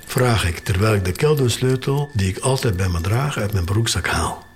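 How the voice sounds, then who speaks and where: A man narrating an audio drama in the first person, in a measured, expressive way with pauses between short phrases. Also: on the low side, slight background noise.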